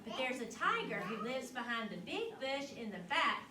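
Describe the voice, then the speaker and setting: A woman reading a picture book aloud to children, expressive and teacherly, pausing to put questions to her young listeners. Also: high voice, a crowd in the background. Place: echoey indoor room at a school literacy event. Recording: roomy, distant mic with clear background noise.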